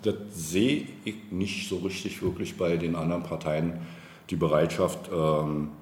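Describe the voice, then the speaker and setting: A low male voice in a political interview, set in a roomy, echoing space. He feels his way through long, wordy answers, often hesitating to find the next word. Some faint noise sits behind him. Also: flat delivery, a little slow. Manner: hesitant, searching for words, wordy.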